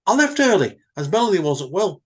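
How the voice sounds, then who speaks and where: Man playing exasperated managing director in a spoken short story; booming, raised pitch, husky edge. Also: big pitch swings, fairly quick, full character voice. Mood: defensive. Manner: booming, indignant. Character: outraged male managing director, loud and exasperated.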